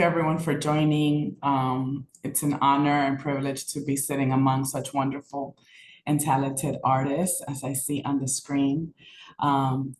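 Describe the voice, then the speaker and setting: A woman poet introduces herself on an artist panel, joining by video call. She talks in a measured way, often pausing on ums. Her call audio sounds roomy, with a faint hiss behind her. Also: extremely low pitch, a little mellow. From New York.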